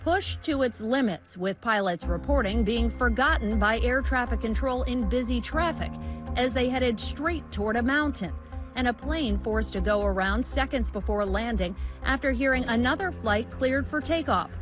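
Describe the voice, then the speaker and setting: A woman's voice: a little high, normal speed. A woman narrating a scripted investigative news report in the role of a reporter. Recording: music bed behind the voice.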